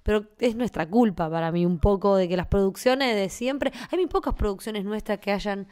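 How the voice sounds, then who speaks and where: A woman's voice: medium pitch, normal speed, darkish tone, clean tone. A woman with an Argentine Spanish accent, chatting casually about films in a conversational, agreeable way.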